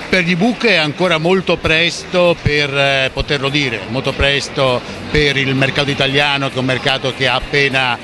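A middle-aged man answering an interview in a halting way, with constant fillers, into a handheld mic. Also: medium pitch, level tone, music in the background. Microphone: handheld reporter mic.

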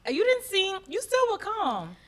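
A woman's voice: very high-pitched, wildly swooping pitch, measured pace, fairly bright tone. A young woman co-hosting a podcast, asking long probing questions in casual chat. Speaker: podcast co-host.

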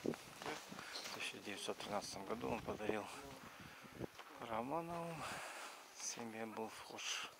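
A man's voice: mid-range voice, very animated, unhurried, vocal fry, long pauses. A man giving casual on-the-spot commentary in short, repetitive remarks, filming outdoors as a travel vlogger. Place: outdoors in a snowy park. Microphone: handheld camera microphone. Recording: very noisy outdoor camera recording.

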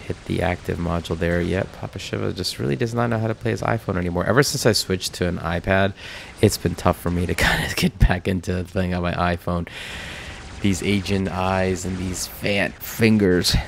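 A man's voice: low voice, steady tone, normal speed, gravelly, a little hiss or hum. A man with a stuffy, nasal voice from a cold, giving rambling casual commentary over a mobile game. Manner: rambling, filler-heavy. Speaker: mobile game video host.

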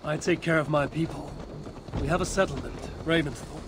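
Actor as a high-pitched, blunt Viking warrior man. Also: brisk, noisy background, lightly acted. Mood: curt. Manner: sardonic, curt, dismissive. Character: proud, blunt, skeptical Viking warrior and clan leader. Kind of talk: video game cutscene acting.

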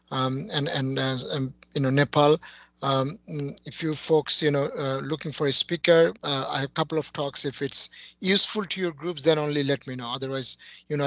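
A man's voice: fairly high pitch, a bit bright, dry recording. A middle-aged man from Bangladesh with a Bangladeshi accent, giving a friendly online tech talk from his home office over a headset, with many fillers. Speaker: conference speaker.

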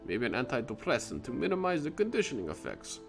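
A man's voice: medium pitch, wildly swooping pitch, fast, vocal fry, faint music behind. A man voicing a troubled male Russian space station scientist with a clumsy put-on Russian accent, reading his logs aloud. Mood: determined.

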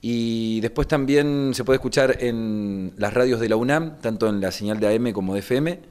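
A man in his forties with an Argentine accent, talking in an interview and pausing to find his words. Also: medium pitch, a little mellow. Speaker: radio producer. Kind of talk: explanatory interview talk. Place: small wood-panelled room indoors. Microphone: microphone just in front.

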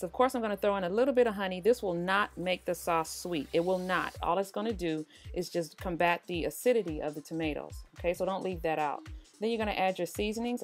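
Low-voiced woman narrating a recipe over music. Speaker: cooking show host. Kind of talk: casual recipe tutorial narration. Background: background music.

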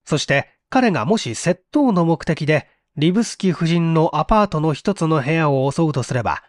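A man's voice: fairly high pitch, lively intonation, normal speed, dry recording, studio quality. A man reading a mystery story aloud as dramatic scripted narration. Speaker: audiobook reader.

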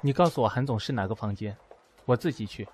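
A man's voice: medium pitch, fast, a little mellow, a little hiss or hum, lightly acted. Man acting a curt, proud male teacher. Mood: annoyed, insistent. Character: proud, wounded male math teacher rejecting his former lover. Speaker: voice actor in an amateur audio drama. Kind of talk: acted audio drama dialogue. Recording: music behind the voice.

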